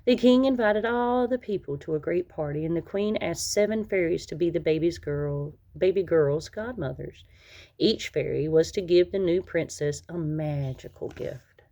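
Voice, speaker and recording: Low-voiced woman reading a children's storybook. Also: normal speed, warm tone. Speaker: storybook reader. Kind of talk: read-aloud children's story narration.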